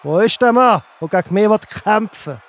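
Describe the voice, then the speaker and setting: A man's voice: sits high, expressive, fairly bright tone. A man with a Swiss German accent playing a boastful giant Philistine warrior who taunts and mocks in a children's audio drama. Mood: scornful, challenging. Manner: taunting, drawn-out, with scornful laughs.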